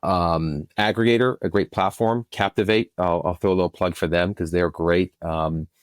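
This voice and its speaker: A man's voice: sits low, steady tone, normal speed, dry recording, studio quality. A man, a producer and podcast guest, talking casually in an interview and explaining his work.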